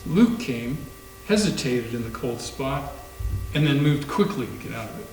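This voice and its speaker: A man's voice: mid-range voice, lively intonation, normal speed, darkish tone. A man reading scripted story narration in a readers theater performance. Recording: echoey room with faint hum.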